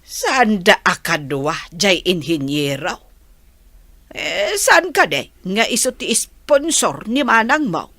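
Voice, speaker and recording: A sharp, forceful village mother acted by a woman in an Ilocano radio comedy, with an Ilocano accent. She pleads and nags in big swooping tones and stretched-out exclamations, at an unhurried pace, recorded close and dry. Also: medium pitch, big pitch swings, dry recording, exaggerated voice. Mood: nosy, surprised. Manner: exclamatory, drawn-out interjections.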